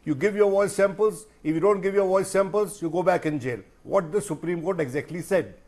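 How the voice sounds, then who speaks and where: A man's voice: high-pitched, brisk, darkish tone. A man with an Indian accent, a journalist speaking emphatically and rhetorically as a guest in a panel discussion, repeating phrases for weight.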